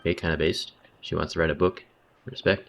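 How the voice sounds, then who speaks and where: Low-voiced man, wry gaming streamer. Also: flat delivery, fairly quick, creaky, a little hiss or hum. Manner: slangy, wry, offhand running commentary. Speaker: livestreamer. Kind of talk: casual gaming stream commentary.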